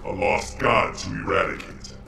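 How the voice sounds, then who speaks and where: A man voicing a menacing, contemptuous cyborg ninja in a fighting game, with gravelly, metallic robot processing and cold, declamatory threats. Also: low-pitched, fairly even intonation, moderate pace, heavy background noise. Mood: cold menace. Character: menacing, contemptuous male cyborg ninja assassin bent on killing. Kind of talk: video game fighting dialogue.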